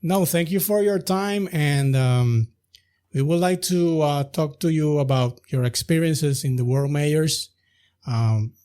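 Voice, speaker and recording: A man with a Puerto Rican accent hosting a podcast in his second language, with a lively, sing-song delivery. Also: medium pitch. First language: Spanish. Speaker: podcast host. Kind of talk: casual podcast chat.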